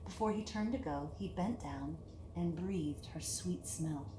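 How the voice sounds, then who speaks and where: A woman reading a picture book aloud to children in an expressive, deliberate storytelling manner, with hushed dips and emphatic lifts. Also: fairly low pitch. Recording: echoey room with clear background hiss.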